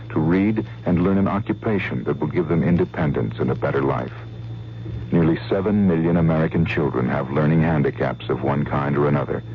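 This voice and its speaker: A man reading a public service announcement in a slow, earnest announcer read. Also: rumbling low. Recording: dull old radio with hum.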